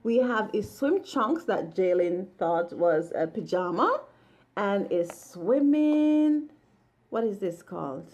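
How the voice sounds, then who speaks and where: A woman's voice: mid-range voice, expressive, slow, a little mellow. A woman doing a casual read-along as a mother with a child, in a sing-song way, speaking to the child and to viewers. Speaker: mother reading a picture book with a child.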